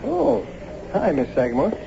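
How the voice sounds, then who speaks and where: An actor playing an easygoing, tired young working husband in a naturalistic radio drama, casual and low-key. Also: male voice, wildly swooping pitch, unhurried, vocal fry, warm tone, heavily acted. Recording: muffled, faintly noisy old radio broadcast.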